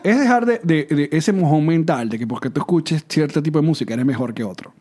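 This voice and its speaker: A man in his thirties chats casually as a podcast co-host. His voice sits a little high, and he jokes and laughs his way through the talk. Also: expressive, a little fast, warm tone. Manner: joking, laughs often. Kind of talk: casual chat.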